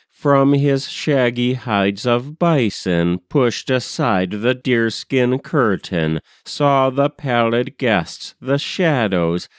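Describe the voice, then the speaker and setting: A man reciting narrative verse in a measured, solemn, dramatic manner, as a poetry reader. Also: medium pitch, smooth, no room sound, clean studio sound.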